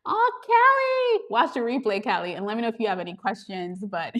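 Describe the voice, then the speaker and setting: A woman's voice: fairly high pitch, big pitch swings, moderate pace, a bit bright. Bubbly woman livestreaming, laughing often. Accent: Trinidadian. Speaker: YouTube coach streaming live. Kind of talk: casual livestream chat with viewers. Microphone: handheld gimbal camera.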